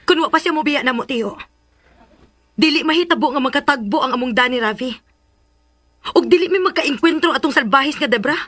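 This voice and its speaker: A woman's voice: sits high, expressive, brisk, long pauses, fairly bright tone, dry recording, studio quality. A woman playing a hurt, resolute young woman in a radio drama, speaking firmly. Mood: bitter, resolute.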